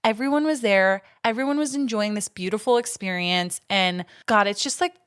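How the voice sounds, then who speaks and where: A young woman co-hosting a horror podcast in a relaxed, chatty way. She laughs easily and drops casual curse words. Her voice sits at a middle pitch, recorded close and dry. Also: normal speed, clean tone, no room sound. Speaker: podcast co-host. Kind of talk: casual chat.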